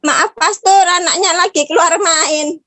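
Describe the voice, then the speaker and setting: A woman's voice: extremely high pitch, fairly even intonation, a little slow, bright, forceful tone. A woman taking part as a caller, giving short, respectful answers to the pastor. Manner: brief, respectful replies. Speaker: caller receiving prayer.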